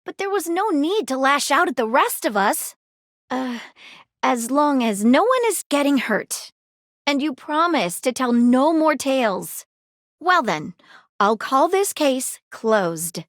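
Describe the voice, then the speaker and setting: A haughty video game detective with a high voice that swoops dramatically. She speaks formally and precisely, with theatrical pomp, in a close, dry recording. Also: big pitch swings, slow, no echo, heavily acted. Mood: triumphant, stern. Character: haughty, sharp-witted detective confronting frauds. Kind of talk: video game character dialogue.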